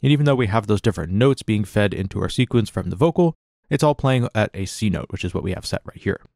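Fast-talking low-voiced male tutorial instructor. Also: lively intonation, gravelly, darkish tone, no room sound, clean studio sound. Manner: conversational, explanatory. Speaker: music production tutorial instructor. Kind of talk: casual software tutorial narration.

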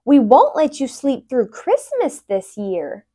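A young woman voicing a small, insistent animal friend from a children's storybook, loud and encouraging. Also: high-pitched, wildly swooping pitch, takes it slowly, no echo, clean studio sound, exaggerated voice. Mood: insistent shouting. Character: small eager bossy male-or-female mouse and animal friends.

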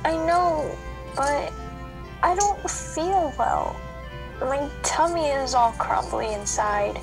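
A woman's voice: high voice, takes it slowly, vocal fry, music in the background, full character voice. A woman playing a nervous, chatty little cookie girl in a children's radio play. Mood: sheepish.

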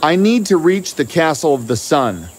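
A man's voice: a little high, very animated, normal speed. A man voicing an eager, bold young fairy tale hero in a cartoon, earnest and exclamatory. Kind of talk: animated fairy tale dialogue. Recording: music behind the voice.

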